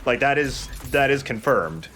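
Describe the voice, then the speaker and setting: A man's voice: medium pitch, expressive, unhurried, fairly bright tone, slight background noise. A man hosting a let's play, giving casual, jokey game commentary with friends. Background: game sound.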